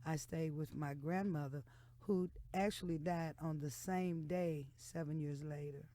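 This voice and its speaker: A woman's voice: low-pitched, fairly even intonation, measured pace, dark tone, no echo. An American woman in her sixties talking candidly and emphatically as a guest in a radio interview. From Texas and Oklahoma, United States. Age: 60s. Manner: frank, outspoken, emphatic. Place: radio studio. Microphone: studio microphone.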